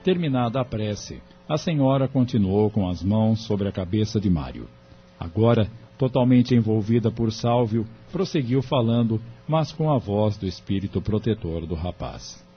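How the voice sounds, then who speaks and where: A Brazilian man narrates an audio drama in a low voice. He reads scripted story links over faint music, in a somewhat muffled recording. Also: animated, moderate pace. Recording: dull lo-fi audio with music behind.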